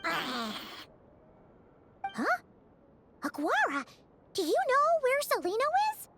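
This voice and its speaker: A woman voicing a tiny childlike companion in a video game, squeaky and concerned, over music. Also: huge pitch swings, slow pace, vocal fry, heavy background noise, exaggerated voice. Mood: puzzled. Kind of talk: animated video game voice acting. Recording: loud music behind the voice.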